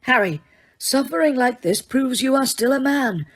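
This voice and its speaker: A serene old wizard headmaster, quiet and unhurried, as a woman reading a storybook puts him on. The voice sits higher and sounds unlike the reader's own. Every word stays calm and measured. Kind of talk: audiobook character reading.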